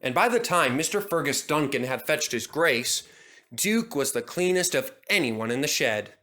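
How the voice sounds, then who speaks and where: A man's voice: fairly high pitch, fairly bright tone. A man narrating a scripted children's story as a storybook reader.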